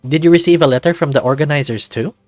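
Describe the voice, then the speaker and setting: A man's voice: a little high, fast talker, darkish tone, no echo, soft background music, lightly acted. Man playing a chatty, curious young lifeguard. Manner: chatty, inquisitive. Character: friendly, curious young male lifeguard hero.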